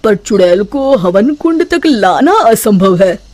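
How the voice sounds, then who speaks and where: A man voicing an anxious, excitable village farmer in a cartoon. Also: high-pitched, slow, dry recording, heavily acted. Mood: worried. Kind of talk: Hindi cartoon voice acting.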